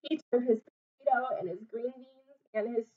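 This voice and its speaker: A woman in her twenties reading aloud to young children like a teacher, asking them simple questions in an animated way. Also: a little high, normal speed. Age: 20s. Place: small home room, indoors. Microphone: webcam or laptop mic at arm's length. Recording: dull webcam sound, distant mic.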